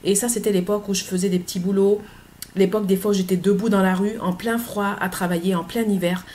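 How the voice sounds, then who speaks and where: A middle-aged woman, talking earnestly in a personal vlog, pausing often with hesitant fillers. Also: mid-range voice, steady tone, dark tone. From Côte d'Ivoire. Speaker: author.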